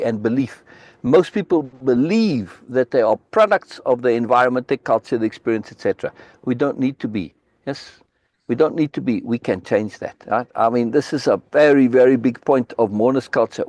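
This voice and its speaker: A man's voice: mid-range voice, a little mellow, no echo. A man from Africa, hosting a live book reading discussion on a video call, speaking emphatically and insistently and repeating key words for force. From South Africa.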